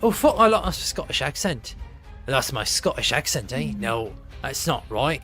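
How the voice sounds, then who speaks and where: Animated, chatty man running a tabletop game. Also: mid-range voice, normal speed, faint music behind. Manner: animated, chatty, thinks aloud about rules. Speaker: game master. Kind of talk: narrating a live tabletop game. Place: home streaming setup.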